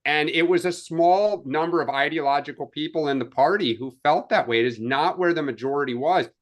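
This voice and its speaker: A man on a political talk panel talks things through casually, hedging and correcting himself as he goes. His voice sits a little higher than most men's. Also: a little fast. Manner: conversational, hedging, thinks aloud. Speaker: co-host.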